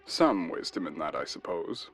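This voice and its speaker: A man playing a ruthless human military officer in a science fiction audio drama, sardonic, deliberate and coldly courteous. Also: rumbling low, fairly bright tone, slightly rough, faint music behind, full character voice. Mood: smug.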